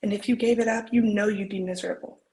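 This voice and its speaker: A woman's voice: medium pitch, fairly even intonation, fast talker, warm tone, lightly acted, low-quality recording. A woman performing a stage monologue as an earnest, supportive woman, heartfelt and emphatic as she encourages a loved one. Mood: urging.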